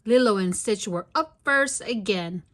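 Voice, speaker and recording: A woman's voice: medium pitch, lively intonation, measured pace, a bit bright. A woman reading a story aloud expressively for young children. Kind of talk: children's read-aloud narration.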